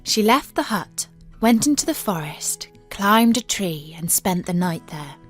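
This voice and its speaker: A woman reading a fairy tale as an audiobook narrator, in a measured, careful storybook manner. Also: medium pitch, expressive. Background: background music.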